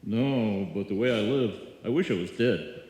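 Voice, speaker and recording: A man playing a gloomy, deadpan maestro who wisecracks in a live old-time radio comedy. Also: lowish voice, normal speed, lightly acted. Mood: mock-gloomy. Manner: dry, deadpan punchlines. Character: gloomy, sardonic male maestro neighbour, wisecracking. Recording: echoey hall, live stage performance.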